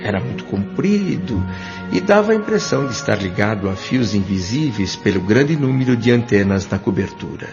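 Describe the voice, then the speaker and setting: A man with a Brazilian accent playing a thoughtful doctor who narrates his memories in an audio drama, in a measured, literary way. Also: lowish voice, big pitch swings, creaky. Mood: solemn, reflective. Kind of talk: scripted first-person audio drama narration. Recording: dull lo-fi audio with music underneath.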